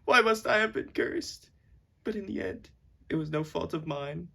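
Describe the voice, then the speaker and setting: A man's voice: a little high, animated, normal speed, gravelly, bright, forceful tone, full character voice. A man playing an anguished, self-loathing king, lamenting in declamatory amateur puppet-show style. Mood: despairing proclamation.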